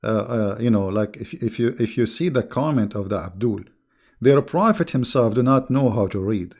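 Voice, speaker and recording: A man, a native Arabic speaker, talking casually to his viewers as an online religious debater, with an animated, mocking and scornful manner. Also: mid-range voice, moderate pace.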